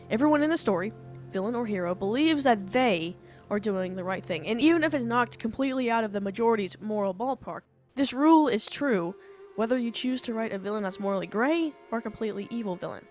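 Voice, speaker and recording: A young woman, an author, explaining storytelling step by step in a casual, earnest way, her voice slightly off after being ill. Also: medium pitch. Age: young adult. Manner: earnest, talks through points step by step. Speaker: author and writing teacher.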